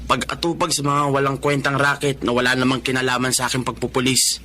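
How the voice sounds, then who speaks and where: A man's voice: mid-range voice, little pitch movement, fast talker, bright, forceful tone, a little hiss or hum, natural voice. An actor playing a young man training to be a police officer who confronts his father, in a radio-style drama. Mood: bitter.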